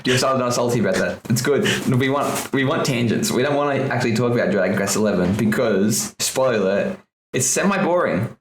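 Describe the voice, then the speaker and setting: A man riffs through a casual podcast chat, cursing freely and laughing between his rants. His voice sits at an ordinary middle pitch. The mic picks up a noticeably echoey room around him. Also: animated, a little fast, a little hiss or hum. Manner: swears often, rants with laughter. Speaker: podcast co-host.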